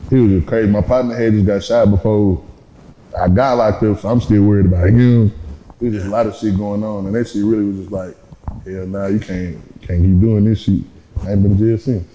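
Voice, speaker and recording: Deep-voiced man, laid-back slangy drawl. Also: fairly even intonation, fairly quick, mellow, muffled recording, a little hiss or hum. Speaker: rapper guest. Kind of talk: casual interview. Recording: echoey room.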